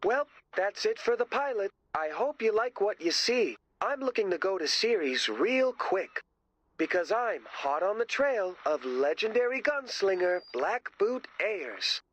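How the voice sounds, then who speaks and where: A man's voice: high-pitched, big pitch swings, measured pace, a bit bright, exaggerated voice. Swooping, cocky rock-drummer showman. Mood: showy, upbeat. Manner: grandiose showman, dramatic emphasis. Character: cocky, self-promoting male rock drummer hosting his own show. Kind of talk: video game voice acting as a showy TV presenter.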